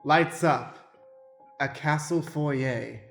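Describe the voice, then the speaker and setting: A man's voice: a little high, very slow, creaky. A man narrating an improvised radio play as its stage manager. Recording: echoing room with music behind.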